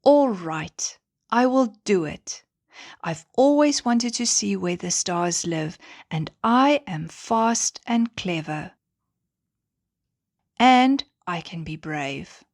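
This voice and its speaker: A woman's voice: mid-range voice, animated, takes it slowly, no echo, studio quality. A woman voicing a shy, nervous young male bunny who tries to be brave, in a children's bedtime story. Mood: resolved. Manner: hesitant, nervous.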